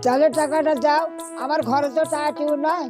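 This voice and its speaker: A man's voice: very high-pitched, level tone, quick pace, music in the background. A man playing an older, matter-of-fact village bicycle repairman in an amateur family drama. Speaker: actor.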